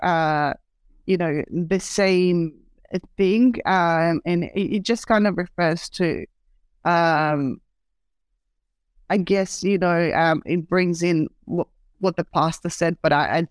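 A woman from Serbia, a native Serbian speaker, answers questions as a podcast guest, friendly and a bit hesitant. Her voice is smooth and clear at a middle pitch, heard dry with no room sound. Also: takes it slowly, no room sound. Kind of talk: casual interview chat.

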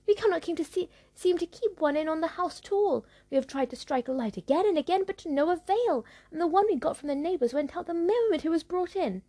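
A woman voicing two scolding young stepsisters in a storybook reading, shrill and complaining. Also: lively intonation, a little fast, hardly any pauses, dark tone.

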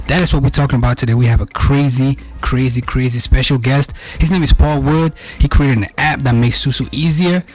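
A man from Cameroon hosting a podcast, asking questions in an energetic, casual way with slang. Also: mid-range voice, brisk, few pauses, breathy edge. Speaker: podcast host. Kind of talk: podcast interview.

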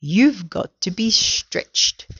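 A woman's voice: fairly low pitch, unhurried, muffled recording. A woman voicing a nasty, scheming husband who taunts with gleeful menace in a children's storybook. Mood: bossy.

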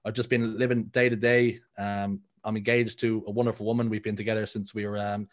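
A man's voice: on the low side, flat delivery, fairly quick. A man of thirty with a Dublin Irish accent, chatting casually as an interview guest, rambling with many ums and restarts. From Ireland. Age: 30s. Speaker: guest, content creator.

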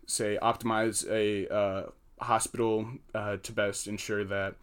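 A young American man with a slightly low voice, talking in a relaxed podcast interview. He is earnest and a little hesitant, pausing often and filling the gaps with "uh" and "um". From United States. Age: young adult. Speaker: engineering student guest.

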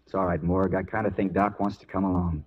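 An actor playing a steady, plain-spoken western marshal in a 1940s radio drama, a man with understated delivery. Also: low-pitched, fast talker, slight background noise, close to an everyday voice. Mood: grim resolve. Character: grim, steady Old West marshal avenging his brothers. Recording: dull 1940s radio broadcast recording.

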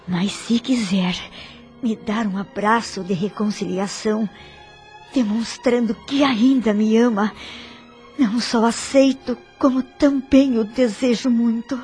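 A woman's voice: mid-range voice, a little slow, a little mellow, lightly acted. Radio soap wife played by a woman: Brazilian, forgiving, tender, earnest, music under the voice. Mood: tender, loving.

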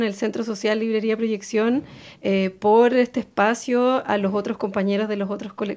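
A woman's voice: mid-range voice, darkish tone. A woman with a Chilean Spanish accent hosting informal radio, speaking unscripted and hesitantly as she searches for words. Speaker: radio host.

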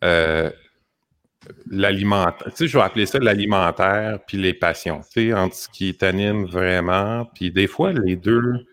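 A man's voice: low-pitched, unhurried, fairly bright tone, dry recording. A man with a Québécois French accent hosting a podcast, asking rambling, filler-heavy questions. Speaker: podcast host. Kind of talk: casual podcast interview.

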